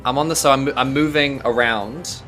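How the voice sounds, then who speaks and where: A man's voice: mid-range voice, moderate pace, bright, forceful tone, soft background music. A man with a New Zealand accent playing a tabletop game, thinking aloud, trailing off and restarting, often laughing. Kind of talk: casual in-character play.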